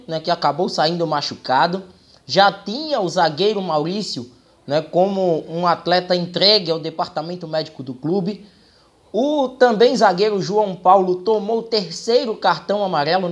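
A young man with a Brazilian accent giving football commentary to camera, explaining things and often adding short checking tags. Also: high-pitched. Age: young adult. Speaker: football commentator and channel host. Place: small room indoors.

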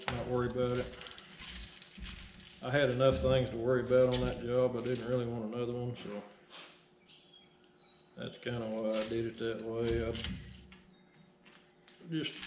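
Middle-aged tradesman, casual self-deprecating ramble, ordinary pitch, body-mounted camera mic in a noisy workshop. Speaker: excavation business owner. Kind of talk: casual talk while working. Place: metal workshop, indoors.

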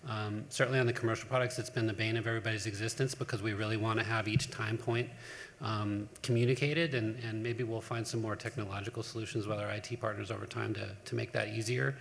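A man talks on a panel in a big conference hall, his voice flat and level as he stops and restarts with fillers. A long hall reverb hangs behind him with a faint hum. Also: on the low side, creaky. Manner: halting, many fillers and restarts. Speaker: panelist on cell therapy operations. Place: large conference hall with audience.

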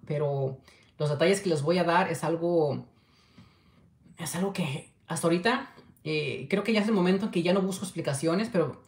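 A man, chatting affectionately on a livestream and reading viewer comments. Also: on the high side. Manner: affectionate, chatty, keeps urging likes. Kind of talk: casual livestream chat. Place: small home room with window. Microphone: webcam at desk distance. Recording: echoey room.

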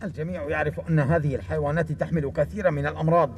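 A man's voice: fairly high pitch, fairly even intonation, rapid-fire, a little mellow, a little hiss or hum, close to an everyday voice. An actor playing a cocky, suspicious male soldier in a dubbed action cartoon, brusque and instructing. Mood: confident, wary. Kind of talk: animated action series dub.

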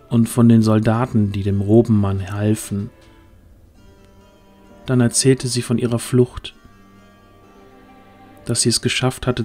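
Male narrator for a fantasy audio drama, low and mellow, scripted delivery.

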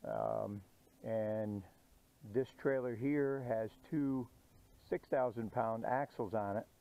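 A middle-aged man casually explaining a welding project outdoors, slow and halting with many "uh" pauses. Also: fairly low pitch, creaky, warm tone. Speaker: DIY channel host. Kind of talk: casual how-to narration. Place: outdoors on a grassy yard.

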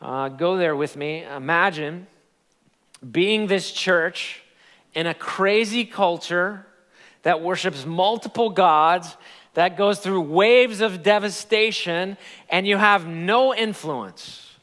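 A man in his forties preaching a sermon on a large church stage into a headset mic, animated and emphatic. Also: high-pitched, slow pace. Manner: animated, gesturing preacher with rising emphatic peaks. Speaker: pastor. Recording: long hall reverb.

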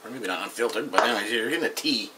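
A man's voice: on the low side, lively intonation, rapid-fire, heavy background noise. A man in his thirties giving a casual, rambling home beer review, seated at a table and recorded on the camera's mic. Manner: rambling, offhand, reads label aloud. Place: small room at home, indoors.

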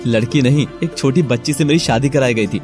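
A man voicing an earnest, hesitant young male college student with an Indian accent in an animated story. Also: mid-range voice, fairly quick, over music, natural voice. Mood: hesitant, confessing.

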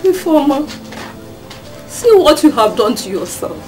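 A woman's voice: high-pitched, very animated, unhurried, warm tone, faint music behind. A woman playing a distraught scolding mother. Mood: reproachful. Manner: reproachful, lamenting. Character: distraught middle-aged mother, scolding and bitter.

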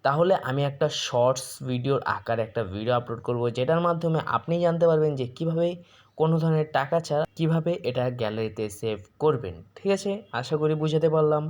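A man's voice: on the high side, quick pace, a little mellow. A man hosts an informal app tutorial, explaining step by step with frequent check-in questions and English words mixed in. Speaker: tutorial host.

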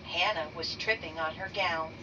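A woman reading a children's picture book aloud slowly and deliberately, raising her pitch for the characters' lines. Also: fairly even intonation. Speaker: read-aloud narrator. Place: bedroom, indoors. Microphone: phone camera held over the book. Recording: dull lo-fi sound from a distance with noise.

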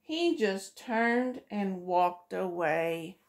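A woman's voice: medium pitch, animated, drawn-out words. A middle-aged woman, a preschool teacher, reading a picture book aloud to children in a sing-song way, voicing each character, recorded close up in a small room.

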